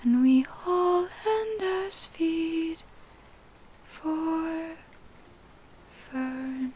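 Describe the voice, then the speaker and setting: A solemn young woman, acted out by a female reader, chants a sea rite in a high voice. Each phrase is very slow and drawn out, sing-song, with pain held underneath, as in an audiobook fantasy. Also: a little mellow, a little hiss or hum, full character voice. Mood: solemn, grateful. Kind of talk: slow ritual chant in fan fiction audiobook.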